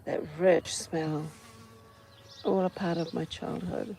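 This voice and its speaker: A New Zealand woman talks in a low voice with plenty of gravelly fry. She muses without a script, in short, unhurried phrases. She is outdoors, with faint buzzing of flies and farm ambience behind her. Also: steady tone, warm tone, slight background noise, rough recording. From Auckland, New Zealand. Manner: musing, unhurried, pausing between short phrases. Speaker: host of a personal ramble vlog. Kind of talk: unscripted reflective outdoor ramble. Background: flies, insects, farm animals.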